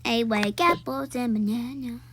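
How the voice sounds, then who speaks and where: A woman voicing a cute little child with drawn-out, simple phrasing in an amateur roleplay. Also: on the high side, lightly acted. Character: cute little child chanting about favorite fruit. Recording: noisy home recording with clicks.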